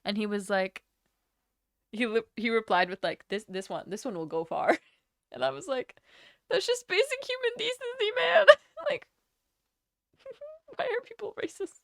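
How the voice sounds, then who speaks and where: A woman's voice: sits high, lively intonation, measured pace, creaky, long pauses, fairly bright tone, no echo, clean studio sound. A young woman from Latvia chatting casually on a live stream, rambling from topic to topic and swearing freely. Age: young adult.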